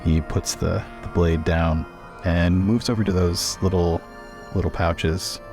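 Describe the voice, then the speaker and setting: Male roleplay player, low register, deliberate and inquisitive delivery, faint music underneath. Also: steady tone, darkish tone. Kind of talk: tabletop roleplay, inquisitive questions and action narration.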